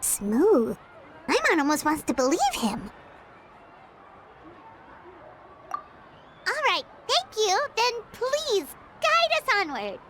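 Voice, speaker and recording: A woman's voice: very high-pitched, wildly swooping pitch, slow pace, heavy background noise, heavily acted. A woman voicing a small, childlike floating companion in a video game, chirpy, curious and cheeky. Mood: skeptical, curious. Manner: refers to self in third person. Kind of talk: animated video game acting. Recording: game music behind the voice.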